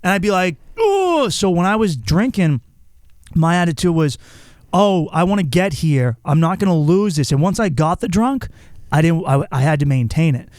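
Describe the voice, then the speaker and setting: A candid male podcast host swears freely and acts out the lines of people in his stories. His voice sits a little higher than most men's. The recording is dry and close, with almost no room sound. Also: sing-song, moderate pace, darkish tone, no room sound. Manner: candid, profane, storytelling with quoted asides. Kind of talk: casual conversational interview.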